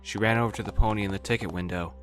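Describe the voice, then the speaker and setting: Very deep-voiced woman narrator. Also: very fast, faint music behind. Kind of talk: storybook narration of a fan story.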